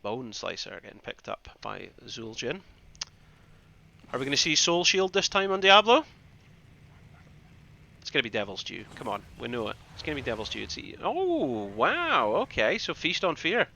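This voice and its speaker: A man from the Scottish Highlands with a Scottish accent, casting a game live in a conversational, rambling way and repeating phrases for emphasis. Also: huge pitch swings, normal speed, gravelly, sharp tone. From Scottish Highlands, northeast Scotland. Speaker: esports caster and streamer. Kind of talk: live game commentary with casual asides to chat.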